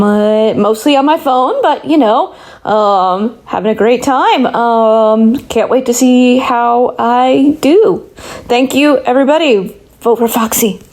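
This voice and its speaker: A woman contestant speaking casually and haltingly into a recording. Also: a little high, a little mellow. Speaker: game show contestant. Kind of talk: casual self-introduction.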